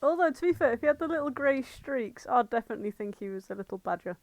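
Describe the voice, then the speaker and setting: A woman's voice: high voice, lively intonation, quick pace, a little mellow, no room sound. A woman co-hosting a podcast in casual chat, playful, irreverent and sweary. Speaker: podcast co-host.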